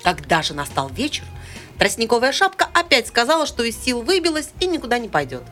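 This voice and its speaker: A woman's voice: a little high, huge pitch swings, brisk, a bit bright, soft background music. A woman, an actor, narrating a fairy tale expressively as a storyteller.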